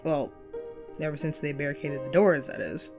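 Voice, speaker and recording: A woman's voice: low voice, steady tone, moderate pace, music in the background. A woman playing a chatty, upbeat ghost of a radio host and ghost hunter in an audio roleplay, playful and musing in manner. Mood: wistful, chatty. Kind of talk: scripted one-sided audio roleplay, conversational.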